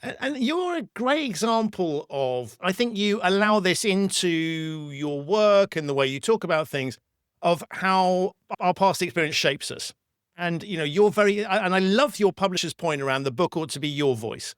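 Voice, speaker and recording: A man's voice: on the high side, animated, moderate pace, smooth, dry recording, studio quality. A man hosting a podcast interview, affirming his guest and rephrasing his points as he builds to a question. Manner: warmly affirming, circling back to rephrase. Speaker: podcast host. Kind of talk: interview conversation.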